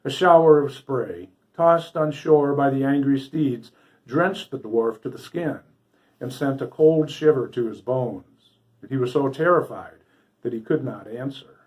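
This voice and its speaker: A man narrating a fairy tale for a story hour, with dramatic, deliberate phrasing. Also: mid-range voice, a little mellow, rough recording. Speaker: storybook reader.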